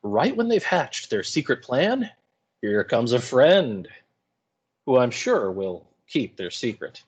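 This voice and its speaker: A man's voice: mid-range voice, big pitch swings, takes it slowly, slightly rough. A man teaching English, explaining and paraphrasing in a colloquial, informal lecture style.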